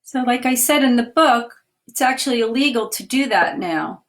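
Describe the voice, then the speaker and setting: A woman, a children's author, reading her picture book aloud in a livestream with clear, expressive delivery and chatty asides. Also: a little high, moderate pace. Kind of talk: children's storytime read-aloud. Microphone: webcam or phone for a livestream.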